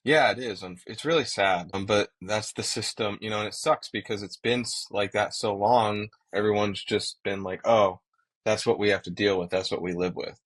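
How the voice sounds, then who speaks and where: A man with a fairly low voice talks as a podcast co-host. He explains things earnestly in a casual, conversational style, like a working pilot speaking to listeners. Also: steady tone, normal speed, fairly bright tone.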